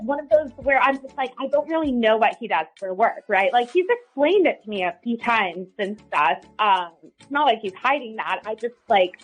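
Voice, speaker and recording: A woman plays a suspicious, bewildered girlfriend phoning a radio show. Her voice is high and she rambles in casual, filler-heavy chat over a music bed. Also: poor audio. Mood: chatty.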